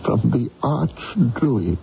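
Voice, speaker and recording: An actor playing a gentle, trusting, dying king in a radio drama, speaking weakly. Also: mid-range voice, very animated, drawn-out words, creaky, heavily acted. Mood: weak, earnest. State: weak, dying delivery. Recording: dull, noisy vintage radio recording.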